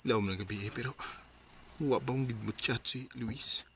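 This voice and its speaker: A man's voice: medium pitch, steady tone, moderate pace, close to an everyday voice. Atan, a man playing a sulky young son. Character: Atan, young man sulking over his girlfriend. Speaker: radio drama actor.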